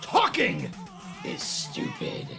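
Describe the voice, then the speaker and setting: A woman's voice: very slow, music in the background. A woman playing a deranged, power-mad villain in an audio drama, ranting and shrieking manically. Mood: angry.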